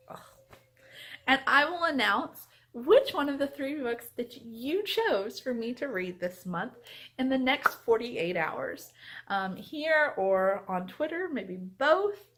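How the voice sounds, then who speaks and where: A woman book vlogger chatting casually and reading aloud in an animated, expressive way. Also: high-pitched.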